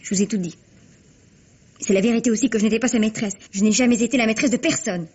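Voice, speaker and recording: A woman's voice: fairly high pitch, fast talker, darkish tone, breathy edge. A woman playing a proud, spiteful young would-be starlet with biting, defiant theatrical delivery, in a 1950s French radio mystery. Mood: bitter, defiant. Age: young adult. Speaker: actor. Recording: dull vintage radio recording.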